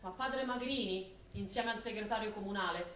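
A woman's voice: mid-range voice, normal speed, a bit bright, natural voice. A woman, a stage actress, delivering dramatic theatrical narration. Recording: echoey room, faint noise.

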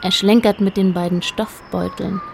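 A woman narrating a literary radio play in a measured, precise manner. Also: medium pitch, level tone, gravelly, a little hiss or hum, close to an everyday voice.

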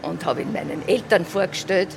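Low, gravelly older Viennese woman. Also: brisk, noisy background. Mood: fond. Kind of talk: vox-pop reminiscence. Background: music behind the voice.

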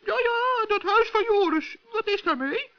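Little male forest gnome: extremely high, chirpy, kindly, curious, gently scolding; muffled old radio-play sound. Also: animated, measured pace, heavily acted. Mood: sceptical. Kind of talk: children's radio play acting. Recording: dull old radio recording.

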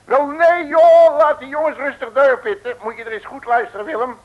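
A cocky detective in an old radio comedy serial. His high voice pours out brash, folksy slang and bossy boasts. The recording is dull and dated. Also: fairly even intonation, normal speed. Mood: cocky, familiar.

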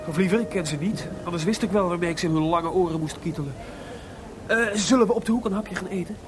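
An actor playing a lively, chatty young composer in a Dutch-language radio drama. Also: male voice, high-pitched, fast, a crowd in the background, natural voice. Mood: eager, chatty. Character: lively, worldly young composer Mozart, anxious about his audience.

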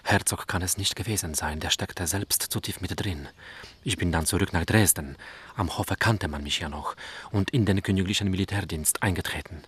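An actor playing a loyal, cautious army captain in a radio play, speaking in hushed tones. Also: male voice, sits low, moderate pace, slightly rough, heavily acted. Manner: hushed, then bursting out in alarm. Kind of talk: radio drama acting.

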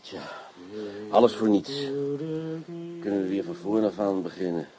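A man playing a brisk, teasing police inspector who orders his men about in a Dutch radio play. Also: low-pitched, crowd noise, lightly acted. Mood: pensive. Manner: wry, bossy, shifting from intimate murmur to barked orders.

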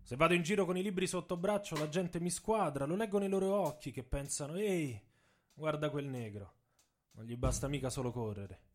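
A man's voice: a little high, brisk, a little mellow, soft background music, lightly acted. A man voicing a proud, bitter young American sprinter in a dramatic story, speaking emphatically and with indignation. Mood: bitter, indignant.

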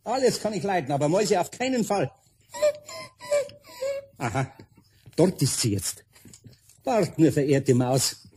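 Older Bavarian craftsman, radio play: husky, warm, grumbling but good-natured, bemused. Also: fairly high pitch, measured pace, pauses often, exaggerated voice. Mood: muttering, determined. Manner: muttering to himself, bemused, expressive. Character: grumbling, good-natured older master carpenter living alone. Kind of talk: German children's radio play acting.

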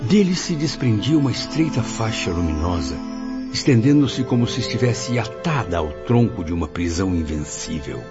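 A reflective spirit memoirist narrating a Brazilian radio drama. His voice is low and expressive, with a formal, literary gravity. Music runs under the lo-fi sound. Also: normal speed. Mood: grave, reflective.

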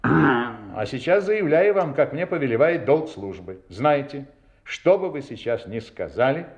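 A man acting a shrewd, assured police inspector in an audio drama, speaking with theatrical, deliberate emphasis. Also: mid-range voice, very animated, reverberant. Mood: stern, accusing.

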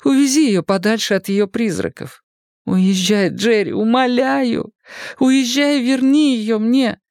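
A woman's voice: a little high, expressive, normal speed, darkish tone, no echo, clean studio sound, close to an everyday voice. A woman voicing an anguished wife and mother in a dramatised audiobook, tender but insistent as she emotionally implores. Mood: pleading, anguished.

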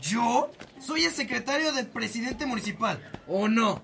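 Radio drama: pompous village secretary, piercingly high, gravelly edge, comic blustering bully. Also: male voice, expressive, a little slow, a bit bright, a little hiss or hum, full character voice. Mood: threatening, pompous. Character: bossy, self-important small-town secretary, a comic bully. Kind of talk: Spanish-language radio drama acting.

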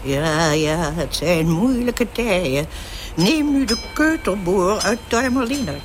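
A woman's voice: fairly low pitch, expressive, slow. An older actress playing a garrulous, callous old mother-in-law in a radio play, rambling and nagging. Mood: chatty, puzzled. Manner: rambling, chatty. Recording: clear vehicle and street noise.

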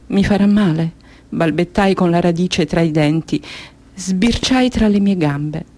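A woman's voice: fairly low pitch, a little mellow, close to an everyday voice. An actress in a radio drama playing a young Somali girl who solemnly recalls a frightening ordeal. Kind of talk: radio drama narrative monologue.

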